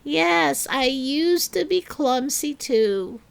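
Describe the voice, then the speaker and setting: A woman voicing a calm, kindly older woman neighbour, gentle, soothing and encouraging, in a children's storybook. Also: sits high, slow pace, creaky, a little hiss or hum, voice pushed up, heavily acted. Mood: calm, reassuring. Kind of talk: children's storybook read-aloud.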